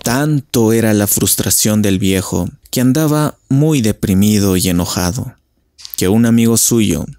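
A man's voice: on the low side, a little slow, mellow, no room sound. A man narrating a local legend as scripted storytelling.

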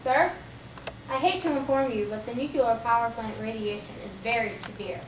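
Girl playing a grave government aide, high voice. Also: moderate pace, lightly acted. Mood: urgent, formal. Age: young teen. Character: deferential government aide reporting grave news. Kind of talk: amateur school drama. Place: small library office. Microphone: far camera. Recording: echoey room, distant mic, clear noise.

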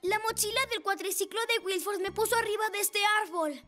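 An eager, polite little cartoon boy motorcycle. The voice is extremely high and childlike, with faint music underneath. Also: a little fast, fairly bright tone. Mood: curious. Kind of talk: animated children's dub.

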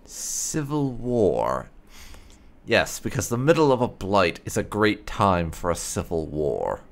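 Slow, gravelly man with swooping low voice. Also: sing-song. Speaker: gamer doing a roleplay playthrough. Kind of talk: casual game commentary with in-character replies.

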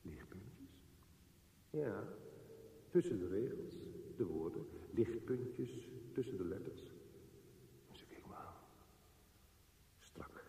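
In a long, echoing reverb, a haunted, brooding man thinking aloud in a radio play. His low voice is very dark and halting, often hushed. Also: sing-song, full character voice. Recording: echoey reverberant space, some background noise.

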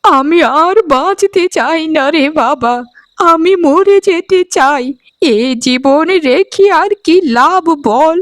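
A woman's voice: high voice, animated, slow pace, no echo, clean studio sound. A woman playing a bitter, self-pitying, ailing old mother-in-law in a melodramatic cartoon, drawing out her words. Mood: self-pitying, tearful.

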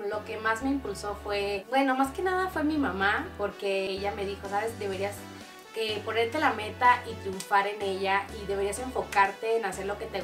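An eighteen-year-old woman from Cuba answering interview questions in a relaxed, earnest way. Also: medium pitch. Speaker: guest YouTuber. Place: small room at a home, plain backdrop.

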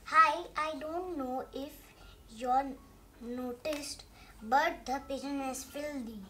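A six-year-old girl from India, reading a picture book aloud in a halting early-reader way as the host. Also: high-pitched, fairly bright tone, a little hiss or hum. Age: child, six years old. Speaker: storybook reader, channel host.

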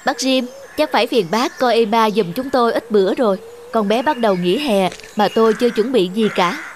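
A woman playing a friendly, busy young mother in a children's cartoon dub, warm and reassuring. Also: a little high, brisk, a little hiss or hum, lightly acted.

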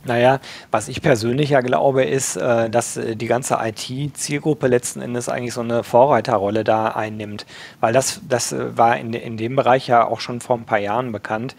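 A man's voice: mid-range voice, fairly even intonation, moderate pace, gravelly. A man hosting a podcast interview, talking casually with many hesitations. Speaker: podcast host.

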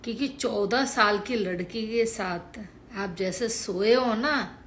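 Slow, hoarse, foul-mouthed woman ranting. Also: medium pitch, fairly bright tone, slight background noise. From Haryana, India. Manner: crude and combative, heavy swearing. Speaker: live streamer. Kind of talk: casual late-night live rant. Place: at home. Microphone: phone.

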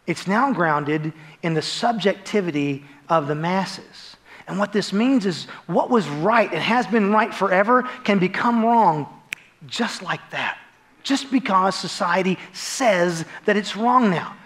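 Man, forties, American accent, high-pitched voice, pastor preaching, conversational, emphatic. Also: a bit bright. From United States. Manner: conversational, emphatic, rhetorical tag questions. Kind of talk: sermon. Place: large dark church auditorium, on stage.

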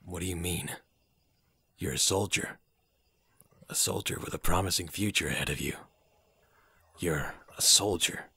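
A man's voice: sits low, unhurried, creaky, long pauses, pushed and bright, husky, dry recording, exaggerated voice. A man voicing a cold, stoic soldier and squad leader in an anime fan dub, speaking in a curt, restrained way. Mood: stern, restrained.

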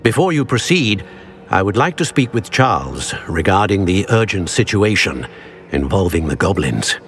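A venerable wizard in a fantasy game. His voice is rather low with lively inflection, formal and deliberate. Soft music runs behind it. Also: full character voice. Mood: grave, urgent. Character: grave, dignified old wizard mentor. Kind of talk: video game cutscene acting.

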